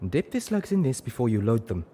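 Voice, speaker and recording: A man's voice: mid-range voice, very animated, fairly quick, mellow, a little hiss or hum, voice pushed up, lightly acted. A man voicing a grave female zebra warrior in a storybook reading, terse and solemn as she warns and instructs. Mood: urgent, instructive.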